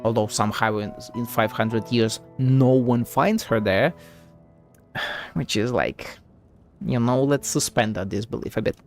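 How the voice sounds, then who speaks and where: A man's voice: mid-range voice, unhurried. Sceptical, sweary male game streamer. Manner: profane, sceptical, thinking aloud. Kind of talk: casual live gameplay commentary. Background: game music.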